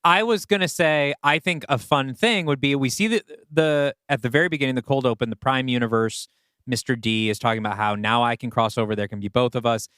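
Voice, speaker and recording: Man, podcast co-host, smooth clean voice, casual brainstorming, dry close sound. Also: mid-range voice, brisk, a bit bright, no echo.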